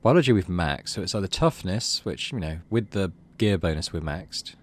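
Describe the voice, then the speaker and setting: Lively, low-voiced man commentating on a game. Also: moderate pace. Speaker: video game let's player. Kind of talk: casual game commentary, thinking aloud.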